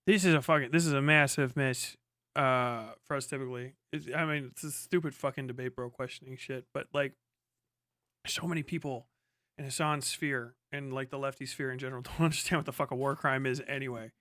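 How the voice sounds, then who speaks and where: A man's voice: medium pitch, steady tone, a little fast, a bit bright, dry recording, studio quality. A man giving casual, sweary live commentary as a stream host, blunt and scornful in a slangy way. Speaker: livestream host reacting to a debate.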